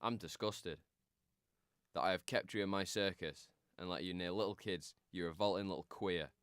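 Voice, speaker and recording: Radio drama villain: greedy, contemptuous male circus boss played by an actor, low-pitched, crisp and blunt. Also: moderate pace, fairly bright tone, dry recording, clean studio sound. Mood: cold, contemptuous. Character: callous, greedy, bigoted male circus owner.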